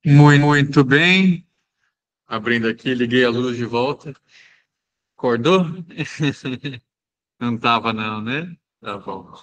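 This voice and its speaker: A man runs the question time in his class and teases his students into speaking up. His voice is mid-pitched, and it is recorded close and dry with no room echo. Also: takes it slowly, long pauses, fairly bright tone. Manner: jokey, coaxing the students. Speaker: course teacher hosting a guest. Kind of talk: running a class Q&A.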